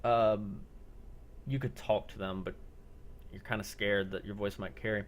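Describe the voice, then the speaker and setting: A man with a fairly low voice runs a role-playing game. He describes the scene off the cuff in a halting way and checks with players on what they do. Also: fairly even intonation. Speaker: game master. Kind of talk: improvised narration of a tabletop-style zombie role-play.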